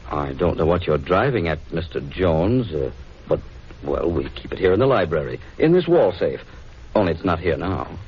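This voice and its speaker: Low-voiced young gentleman, old radio. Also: very animated, moderate pace, breathy edge, exaggerated voice. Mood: affable, guarded. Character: well-off young gentleman, unruffled and dryly defiant. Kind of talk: 1940s radio drama acting. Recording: muffled, noisy 1940s radio recording.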